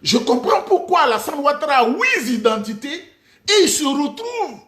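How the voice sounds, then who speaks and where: Animated high-voiced Ivorian man. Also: normal speed. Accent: Ivorian French. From Côte d'Ivoire. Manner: mocking, rhetorical, with sudden outbursts and laughs. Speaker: political commentator. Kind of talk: impassioned political monologue. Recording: echoey room.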